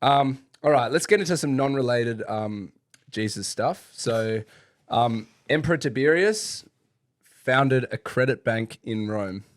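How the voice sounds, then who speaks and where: A man's voice: mid-range voice, expressive, a little slow, no echo, studio quality. A man co-hosting a podcast in casual chat, joking and laughing as he reads out trivia. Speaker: podcast co-host.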